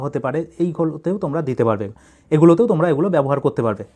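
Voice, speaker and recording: A man with a somewhat high-pitched voice gives a writing lesson. He goes very slowly, dictating in small separated chunks and explaining each as a teacher would. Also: darkish tone. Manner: dictates in short separated phrases, then explains.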